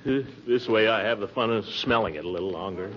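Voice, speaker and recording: An actor playing a pompous, fretful middle-aged uncle who blusters and grumbles in a 1940s radio comedy. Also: male voice, lowish voice, fairly quick, lightly acted. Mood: grumbling. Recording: dull vintage radio recording, noisy.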